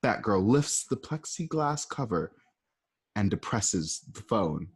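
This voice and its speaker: A man narrating stage directions for a radio play in a lively, playful way. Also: fairly low pitch, takes it slowly, breathy edge. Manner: lively, playful, asks and answers his own questions.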